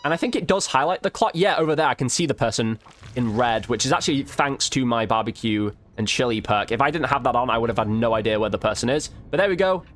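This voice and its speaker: A man's voice: medium pitch, animated, fast. A man giving casual, slangy gameplay commentary as a video creator, thinking aloud as he goes.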